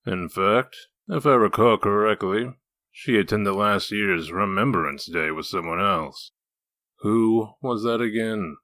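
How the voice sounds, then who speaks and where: Casting: male actor as hard-boiled wolf detective, very low, forceful edge, dry delivery for comic drama. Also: slow, no room sound. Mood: dry, probing. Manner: needling, dry, interrogating. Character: gruff, sardonic male wolf detective in human form. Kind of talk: comic dub dramatic acting.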